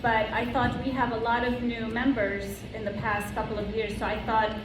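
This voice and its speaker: A woman, giving an earnest prepared speech to her club and reading slowly from notes. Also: on the high side, flat delivery. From Lebanon. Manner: slow, earnest, read from notes. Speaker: club member giving a talk. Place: club meeting room. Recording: roomy room from far off, with background noise.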